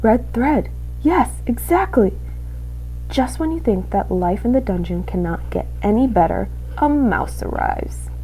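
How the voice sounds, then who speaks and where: A woman's voice: on the high side, animated, unhurried, dark tone, slight background noise, low-quality recording. A woman voicing a sinister, gleeful male rat in a storybook, savoring each phrase with theatrical self-questioning. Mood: gleeful relish. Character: devious one-eared male dungeon rat, gleefully sinister.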